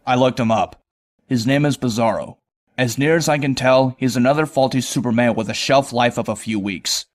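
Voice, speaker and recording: A medium-pitched male antihero, acted by a man for a motion comic. He is a sardonic young loner, world-weary and conversational, with a wry edge as he narrates or talks. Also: normal speed, natural voice. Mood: earnest. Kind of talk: first-person narration and dialogue, motion comic.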